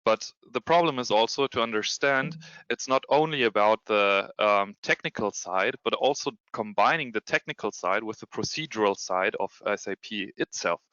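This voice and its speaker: German-accented male presenter on a video call, halting and full of fillers, bright crisp tone. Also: lowish voice, animated, no room sound, highs cut off. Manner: hesitant, many ums and restarts. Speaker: security consultant presenting a demo. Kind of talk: webinar presentation with screen share.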